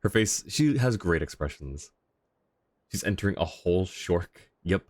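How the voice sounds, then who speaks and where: Low-voiced man livestreaming casually. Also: animated, measured pace, darkish tone, dry recording. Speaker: game livestreamer. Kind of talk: casual livestream commentary, chatting with viewers.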